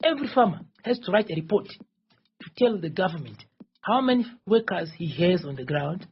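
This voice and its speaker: A man's voice: high-pitched, big pitch swings, normal speed, lo-fi sound, slight background noise. A man in his forties, originally from Tanzania, answering interview questions as a presidential candidate in a rambling, insistent way and often repeating himself. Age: 40s.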